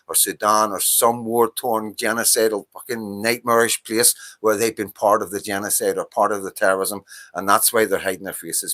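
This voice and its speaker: A man's voice: on the low side, fairly bright tone. A man in his fifties with a Northern Irish accent, hosting a livestream and ranting about politics in a heated, profane, repetitive way. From Northern Ireland. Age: 50s. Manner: impassioned, ranting, profane, repeats himself. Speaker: livestream host. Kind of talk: casual political monologue.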